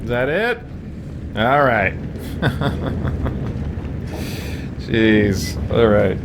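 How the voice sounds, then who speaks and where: Male gaming host, unhurried, very animated pitch, casual self-musing commentary over game music. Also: very noisy. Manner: musing to himself, mild exclamations.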